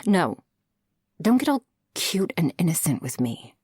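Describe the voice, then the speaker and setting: A woman's voice: fairly low pitch, big pitch swings, unhurried, darkish tone, husky, dry recording, clean studio sound, heavily acted. A woman playing a tough, sassy shark-girl girlfriend in an intimate ASMR roleplay, blunt and sardonic. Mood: exasperated, scolding.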